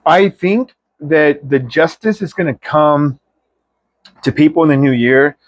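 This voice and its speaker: A man's voice: a little high, a little slow, dry recording. A man reads tarot for his viewers in an informal, chatty style, giving an emphatic pep talk with slang and mild swearing. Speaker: tarot reader.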